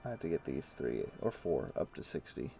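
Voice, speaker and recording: A man's voice: very deep voice, sing-song, normal speed, vocal fry, dark tone, soft background music. A man streaming a game, chatting casually and explaining things in a loose, rambling way full of fillers. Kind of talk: casual chat while playing.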